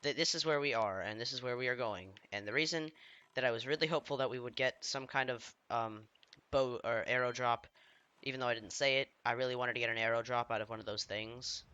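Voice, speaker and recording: A man with a slightly hoarse voice from feeling unwell, giving rambling, self-deprecating commentary while he plays a video game. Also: medium pitch, a little fast, sharp tone, lo-fi sound. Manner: rambling, self-deprecating, trailing off mid-sentence. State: slightly hoarse, under the weather. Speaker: let's play gamer. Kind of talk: casual gameplay commentary.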